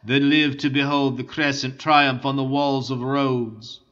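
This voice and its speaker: A man's voice: mid-range voice, little pitch movement, a little slow, fairly bright tone, muffled recording, lightly acted. A man reading an audiobook voices a proud, impassioned young male Italian count held prisoner.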